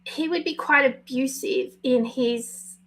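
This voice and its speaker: Husky lady coach going live, kinda high voice, slow and careful, lots of pauses. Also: a bit bright. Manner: slow, pausing, careful with words, apologetic. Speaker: life coach. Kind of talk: spontaneous live video talk.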